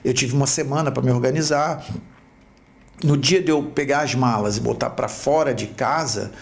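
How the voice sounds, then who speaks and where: A middle-aged man from Rio with a Brazilian Portuguese accent, a podcast host telling his life story in a reflective, halting way. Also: mid-range voice, vocal fry. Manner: reflective, halting with repeated restarts. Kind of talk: personal storytelling.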